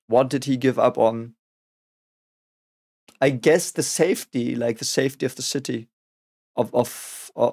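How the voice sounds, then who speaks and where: Raspy man musing over lyrics. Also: mid-range voice, a little mellow. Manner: musing, halting, repeats words often. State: voice raspy from a cold. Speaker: reaction video host. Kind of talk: casual reaction and lyric analysis.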